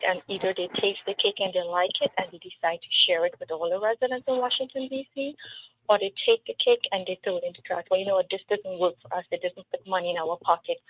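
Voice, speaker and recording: A woman's voice: medium pitch, quick pace. A woman with a Trinidadian accent, speaking earnestly and emphatically as a political candidate in an interview. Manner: earnest, emphatic, with storytelling asides. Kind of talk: interview answers. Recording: tinny phone-like line with faint noise.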